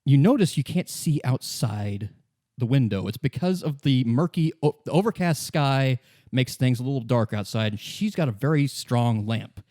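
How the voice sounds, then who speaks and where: Male game master, dark mellow tone, halting improvised narration, very dry recording. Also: mid-range voice, animated, dry recording. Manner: halting, self-correcting.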